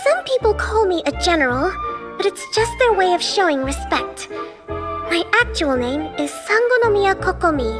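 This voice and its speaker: A woman voicing a composed, gracious young strategist with a polite, gentle manner in a game cutscene. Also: extremely high pitch, sing-song, moderate pace. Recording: game audio with reverb under music.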